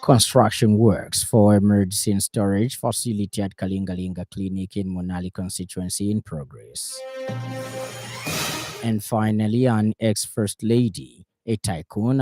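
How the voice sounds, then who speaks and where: A man's voice: lowish voice, moderate pace, over music. A man with a Zambian accent reading a scripted radio news bulletin as the presenter. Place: radio studio in Lusaka.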